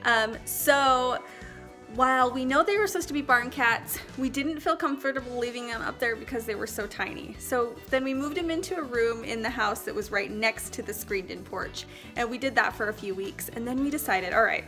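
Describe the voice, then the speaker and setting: A woman's voice: on the high side, pushed and bright. A young woman vlogging about her home, talking chattily and upbeat straight to the camera through an earbud mic. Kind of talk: casual vlog chat to camera. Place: indoors, living room with ceiling fan. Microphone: wireless earbud mic.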